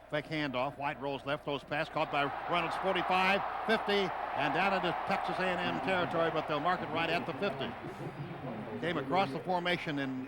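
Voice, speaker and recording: A male radio announcer with a fairly high voice calls a football game play by play. He speaks in clipped running phrases, with very loud stadium noise all around him. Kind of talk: live American football play-by-play commentary. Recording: very noisy stadium radio broadcast.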